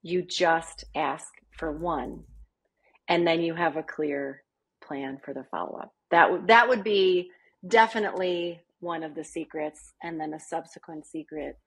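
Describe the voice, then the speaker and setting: A woman's voice: low voice, normal speed, gravelly, a bit bright, slightly rough, low-quality recording. A woman, a small business coach, speaking as a guest on a podcast interview in a chatty way that keeps checking in with her listeners. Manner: chatty, keeps asking "right?".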